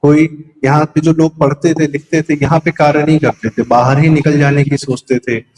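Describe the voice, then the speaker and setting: A man's voice: a little high, little pitch movement, moderate pace, a little hiss or hum, rough recording. A man giving opinionated political commentary in a conversational, explanatory way, emphatic and mixing in English words. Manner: emphatic, poses rhetorical questions and answers them; mixes English words into speech.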